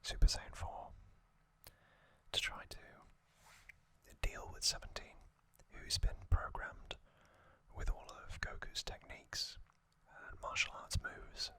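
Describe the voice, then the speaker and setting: A man hosting an ASMR recap in a breathy whisper, musing casually and giving his own opinions as he goes. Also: extremely high pitch, sharp tone, no echo. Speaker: ASMR video host.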